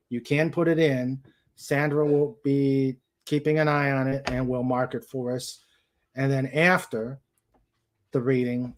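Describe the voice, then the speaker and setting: Slow-talking man hosting, noisy background. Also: medium pitch. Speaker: host. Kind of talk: casual livestream chat. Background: dog noises and clicks.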